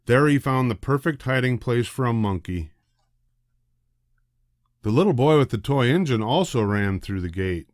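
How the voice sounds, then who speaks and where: An older man narrating a children's storybook in a deliberate, expressive way. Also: mid-range voice, dry recording, studio quality. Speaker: storybook reader.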